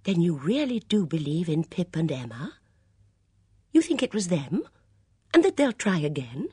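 A woman voicing a lively, blunt English vicar's wife in an audiobook, eager, affectionate and emphatic. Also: fairly low pitch, moderate pace, breathy edge, no room sound, voice pushed up, heavily acted. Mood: excited.

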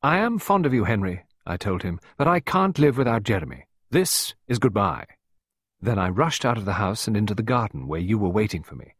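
A man's voice: fairly low pitch, very animated, a little fast, husky, no room sound, studio quality. A man voicing a playful, composed married woman in an audiobook, airy and mock-dramatic. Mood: complacent, mock-dramatic. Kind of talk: audiobook character voice.

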